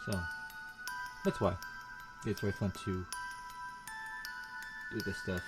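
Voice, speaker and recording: A man hosting a reaction video with casual, halting, self-deprecating commentary in a husky voice. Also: sits low, fairly even intonation, loud music behind the voice.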